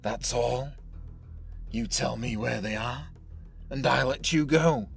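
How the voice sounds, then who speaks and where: A man playing a ruthless, vengeful gang boss in an audio drama, with a gravelly voice and a commanding, menacing manner. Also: mid-range voice, slow, faint music behind. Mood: cold menace.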